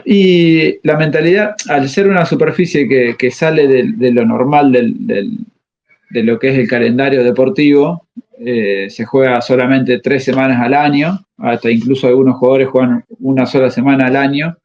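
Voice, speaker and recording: A man explains coaching in an interview, often halting to search for the right word. His voice sits at an ordinary middle pitch. The recording is a bit dull and muffled. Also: steady tone, a little mellow. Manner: halting, pauses often to find words. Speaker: tennis coach. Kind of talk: interview answers. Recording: slightly muffled audio.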